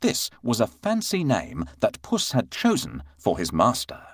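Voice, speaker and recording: A man narrating a scripted children's story in a measured, expressive storyteller's manner. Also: medium pitch, slightly rough, no echo, clean studio sound.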